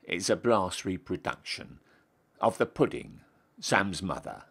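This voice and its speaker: A man's voice: low-pitched, sing-song, a little slow, gravelly, a bit bright, breathy edge. A man with a Northern English accent giving a theatrical, storytelling recitation of a comic dialect monologue. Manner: dramatic, storytelling delivery. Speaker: reciter, town crier.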